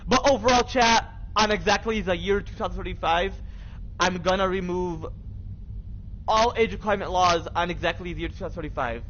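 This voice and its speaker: A man's voice: sits high, brisk, fairly bright tone. A young American man chatting casually on a game livestream in a rambling, repetitive, slangy way. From Michigan, United States. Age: young adult. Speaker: live streamer. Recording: dull lo-fi mic with faint noise.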